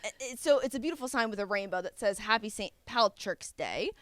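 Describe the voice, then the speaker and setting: A woman's voice: medium pitch, lively intonation, fairly quick, fairly bright tone, no echo. A young woman co-hosting a podcast in casual, playful chat, giggling often. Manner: giggly, playful, tries silly accents. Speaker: podcast co-host. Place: indoor set.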